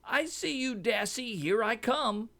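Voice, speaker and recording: A man voicing a big, greedy old eagle in a storybook, chanting threats in a loud, screechy squawk. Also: very high voice, lively intonation, a little slow, pitched above the performer's own voice, heavily acted. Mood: menacing.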